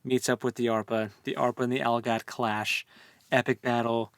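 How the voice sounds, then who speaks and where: A man's voice: mid-range voice, flat delivery, moderate pace, fairly bright tone, no echo. A man co-hosting a podcast, talking casually and informally about a book with opinionated commentary. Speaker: podcast co-host. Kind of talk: casual book discussion chat.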